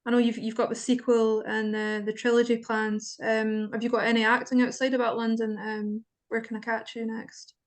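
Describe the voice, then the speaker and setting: A woman's voice: fairly high pitch, flat delivery, normal speed, hardly any pauses. A Scottish woman interviewing guests, asking questions hesitantly with many ums.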